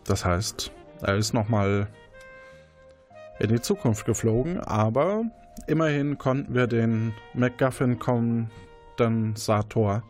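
A man's voice: medium pitch, slow pace, darkish tone. Man, game master, easygoing, encouraging, faint music behind. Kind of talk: running a detective role-play.

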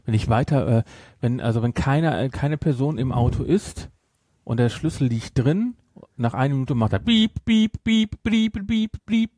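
A man's voice: medium pitch, lively intonation, moderate pace, a little mellow, dry recording, clean studio sound. A middle-aged man chatting casually and jokingly as a podcast co-host, rambling as he goes. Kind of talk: casual unscripted podcast chat.

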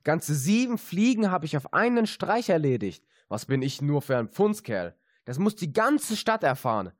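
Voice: mid-range voice, big pitch swings, moderate pace, dry recording, clean studio sound. A young-sounding performer playing a cheerful, boastful little tailor in a children's fairy-tale audio play, theatrical and self-satisfied.

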